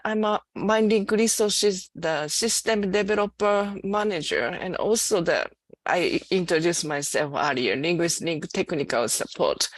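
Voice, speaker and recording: A woman giving a training presentation as a system developer manager, talking in a halting, hesitant way with many restarts. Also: lowish voice, a bit bright, no room sound. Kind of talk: slide presentation.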